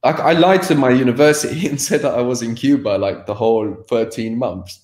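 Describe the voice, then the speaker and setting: Man, 30s, candid interview guest on a video call, mid pitch, echoey room. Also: a little fast, darkish tone. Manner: rambling, frank, laughs often, restarts. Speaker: guest, language course creator. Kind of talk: candid personal conversation. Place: home room by a sofa.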